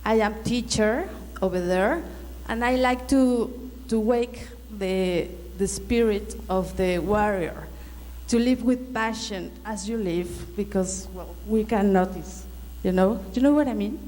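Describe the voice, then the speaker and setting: Halting woman with a Mexican Spanish accent. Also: mid-range voice, dark tone. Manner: halting, searching for words. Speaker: fan asking a question. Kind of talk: audience question at a fan convention. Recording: reverberant hall with faint noise.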